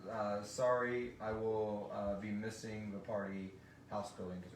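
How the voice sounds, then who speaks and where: A man's voice: fairly low pitch, level tone, unhurried. A man hosting a casual live question-and-answer chat, reading out listener questions in a jokey way. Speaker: host and podcast creator reading listener questions. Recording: roomy, echoey live recording with background noise.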